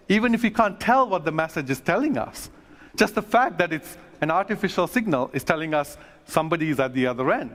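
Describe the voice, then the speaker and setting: A man who grew up in Sri Lanka, an astronomer speaking earnestly at a conference, pausing with fillers and restarts in the Q&A. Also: a little high. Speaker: astronomer giving a talk. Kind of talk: stage talk then casual Q&A. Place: conference stage. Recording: long hall reverb.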